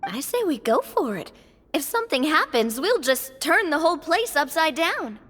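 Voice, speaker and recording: Bold, animated adventurer, high-voiced. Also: female voice, moderate pace, soft background music. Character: bold, breezy, reckless female adventurer.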